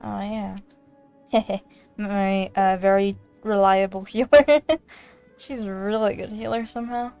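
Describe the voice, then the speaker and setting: Girl streaming a game, slow rambly giggly chat, bit of music behind. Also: mid-range voice, flat delivery, a little mellow, clean tone, dry recording. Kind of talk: casual gameplay chat.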